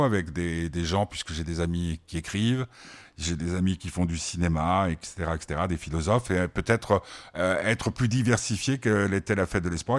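A man in his sixties hosting a casual interview, teasing his guest and pausing often with fillers. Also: low voice. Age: 60s. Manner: teasing, joking, hesitant with many fillers. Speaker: host.

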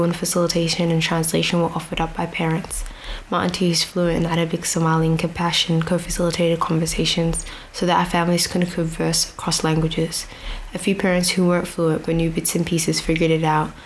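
Young woman, low even delivery, reflective storyteller with dry asides, faint outdoor hiss behind. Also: low-quality recording. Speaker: speaker addressing an outdoor audience. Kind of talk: prepared storytelling speech. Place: outdoor venue with grass and a stage.

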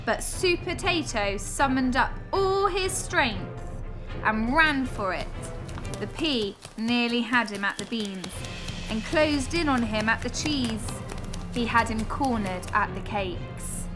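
Unhurried woman storyteller over music. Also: a little high, expressive. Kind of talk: expressive children's storybook narration.

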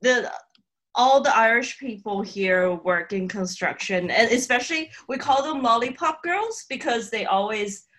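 Woman from Taiwan, podcast guest, lively pitch, laughs a lot, casual chat. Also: unhurried. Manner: laughs often, chatty with many restarts.

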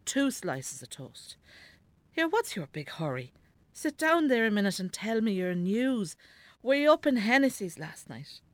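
An actress playing a weary, sharp-tongued elderly Irish mother in a naturalistic radio drama, grumbling bluntly. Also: mid-range voice, very animated, unhurried, no echo. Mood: gently bossy. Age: older.

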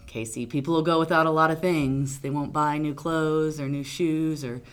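A woman attorney from Arkansas, answering interview questions in a loud, outgoing, talkative way. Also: low voice, fairly even intonation, normal speed, clear, resonant tone. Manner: loud, big personality, talkative. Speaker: attorney guest.

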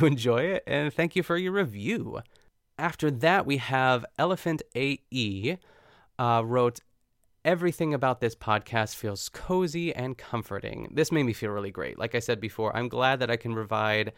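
A man hosting his own podcast, talking chattily and effusively with a smooth, soothing voice. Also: mid-range voice, dry recording, studio quality. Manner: chatty and effusive, lots of fillers. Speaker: podcast host. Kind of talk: casual solo podcast chat.

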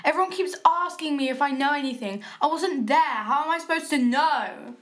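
A woman's voice: high voice, moderate pace, fairly bright tone. A woman playing a scared, defiant schoolgirl daughter who snaps back, in an audio drama. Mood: scared, snappy. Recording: clear background noise.

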